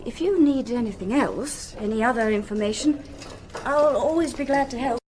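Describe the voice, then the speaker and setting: A woman's voice: fairly high pitch, moderate pace, a little mellow, slight background noise, natural voice. An actress playing a helpful young female librarian in a radio drama, with a polite, hesitant manner. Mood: friendly, bashful.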